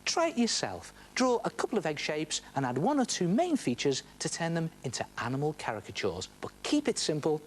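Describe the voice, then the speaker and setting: Animated British man presenting children's art. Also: fairly high pitch, a little fast, few pauses, slight background noise. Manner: chatty, asks the viewer questions and answers them; animated, playful emphasis. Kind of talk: step-by-step drawing demonstration for children.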